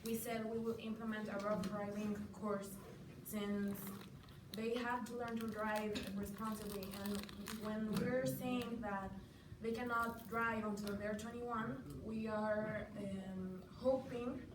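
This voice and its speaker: A woman's voice: mid-range voice, steady tone, slow. Mexican woman student, distant and echoey. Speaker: student debater. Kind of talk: prepared argument in a classroom debate. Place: classroom. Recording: echoey, noisy room, distant mic.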